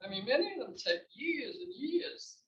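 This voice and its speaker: A woman's voice: high-pitched, big pitch swings, moderate pace, slight background noise. A woman playwright chatting casually to a gathering, telling anecdotes in a rambling way. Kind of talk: casual Q&A chat after a reading. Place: library event room. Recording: echoey library room, distant muffled mic.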